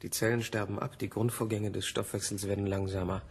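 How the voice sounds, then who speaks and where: An actor in a German radio play playing an intense, defiant physicist who speaks in urgent, insistent, clipped phrases. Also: male voice, lowish voice, flat delivery, fairly quick, husky. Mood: urgent, grim.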